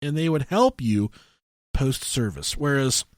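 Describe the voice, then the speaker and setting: A man co-hosting a podcast, telling stories casually and comically in a profane, halting way. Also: mid-range voice, animated, no echo, clean studio sound. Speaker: podcast co-host.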